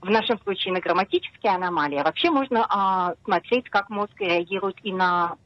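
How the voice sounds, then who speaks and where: A middle-aged woman scientist explaining her research patiently on a radio interview, often pausing on hesitations. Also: mid-range voice, no echo. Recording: dull dry radio studio sound.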